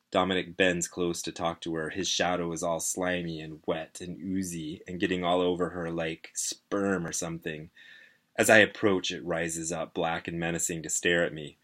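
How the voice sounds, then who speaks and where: A man's voice: sits low, a bit bright. A man voicing an anxious, sleepless fifteen-year-old boy in a dramatic first-person story reading, with emphatic outbursts.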